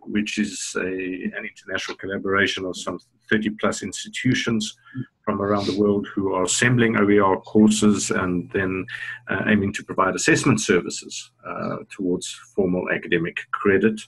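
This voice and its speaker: A man's voice: deep voice, level tone, moderate pace, fairly bright tone, slightly rough, low-quality recording. A middle-aged man hosting a video-call webinar on a headset, easygoing, with many "uh" fillers. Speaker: webinar host.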